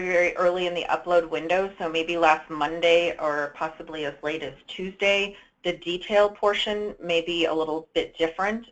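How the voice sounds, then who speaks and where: A woman's voice: fairly low pitch, fairly bright tone, rough recording. A woman co-presenting on a conference call, giving unscripted, careful, advisory explanations. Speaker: co-presenter giving technical advice. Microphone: telephone conference call.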